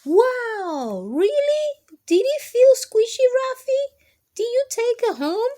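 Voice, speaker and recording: Storybook role: confident young schoolgirl, acted by a woman, high-voiced, excitable, very animated, a touch bossy. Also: takes it slowly, exaggerated voice. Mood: amazed, eager. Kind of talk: children's storybook read-aloud.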